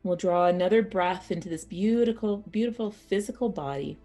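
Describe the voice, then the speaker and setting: A woman teaching yoga nidra as a guided meditation, speaking soothingly and unhurriedly in short phrases with pauses. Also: fairly low pitch, mellow, faint music behind.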